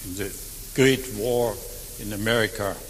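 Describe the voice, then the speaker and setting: Slow-spoken man in his eighties, German native. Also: fairly low pitch, fairly even intonation, long reverb tail, a little hiss or hum. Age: 80s. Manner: slow, earnest, with emphatic swells and quiet drops. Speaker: war veteran speaker. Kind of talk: testimony talk to an audience.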